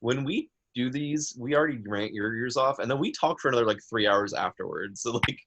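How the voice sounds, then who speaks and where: A man's voice: medium pitch, fairly quick, background noise. Nasal, easygoing man. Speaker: podcast co-host. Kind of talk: casual chat.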